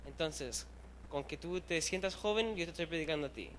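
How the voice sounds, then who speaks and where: A man's voice: on the high side, a little fast, a bit bright, slight background noise. A young man in his twenties from Chile, preaching to a youth group in a casual, self-deprecating way and slipping English words into his talk. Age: young adult. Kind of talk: casual storytelling sermon. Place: church hall.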